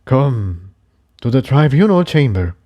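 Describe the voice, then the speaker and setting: A man's voice: mid-range voice, very animated, a little slow, muffled recording. A man voicing an elderly, weary pony ruler with a quavering, solemn delivery in a story reading. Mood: grave, commanding.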